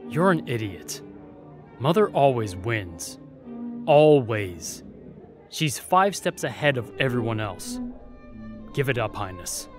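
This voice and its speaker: A man's voice: mid-range voice, sing-song, over music. A man playing a jaded, defiant young spy at a royal court in an audio roleplay, sardonic and guarded. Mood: defensive, cold. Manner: sardonic, bitter, guarded, with pauses.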